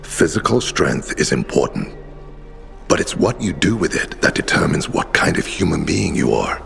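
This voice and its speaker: An actor voicing a weary, gruff older man, an earnest and reflective former leader of heroes in a video game. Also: very animated, moderate pace, a bit bright, soft background music, heavily acted. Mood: solemn, earnest. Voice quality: deep gravelly, breathy at times. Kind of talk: video game dialogue acting.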